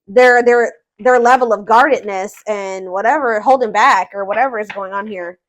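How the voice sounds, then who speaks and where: A woman's voice: medium pitch, normal speed. A woman giving a casual tarot love reading, thinking aloud and often repeating and rephrasing herself as she works out each point. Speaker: tarot reader, host.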